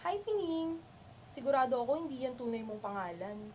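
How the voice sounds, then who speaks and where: A woman plays a frank, friendly young roommate in an amateur radio play. Her voice is fairly high and chatty, with slight noise behind it. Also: lively intonation, moderate pace, darkish tone, rough recording. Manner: chatty, candid. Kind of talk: amateur radio drama acting.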